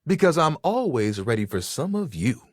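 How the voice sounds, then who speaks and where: A man's voice: medium pitch, very animated, normal speed, no echo, studio quality. A man voicing a flirty, laid-back living towel in a video game, playful and heartfelt. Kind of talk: video game character acting.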